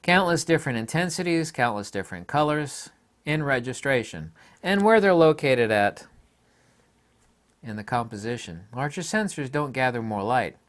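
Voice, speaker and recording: A man's voice: medium pitch, expressive, normal speed, fairly bright tone. A man giving an opinionated photography vlog monologue, speaking in an emphatic, blunt and scornful way. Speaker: photography YouTuber.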